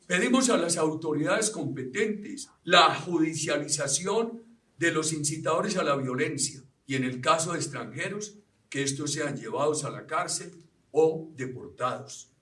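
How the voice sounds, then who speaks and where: A man's voice: a little high, pushed and bright, far from the mic. A man making a political public statement, recorded in a roomy space away from the mic.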